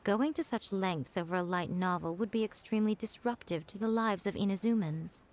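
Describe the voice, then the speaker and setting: A woman's voice: mid-range voice, brisk, a little mellow, lightly acted. A woman voicing a sly, self-assured fox-spirit shrine maiden in an anime game, poised, teasing and commanding. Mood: measured, thoughtful.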